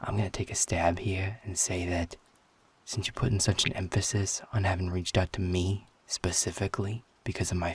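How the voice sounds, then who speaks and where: A man playing a guarded, sarcastic, defiant werewolf in an intimate audio roleplay, talking bluntly with a husky, gravelly voice. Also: deep voice, no echo, exaggerated voice. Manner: sardonic, blunt, swearing, confrontational.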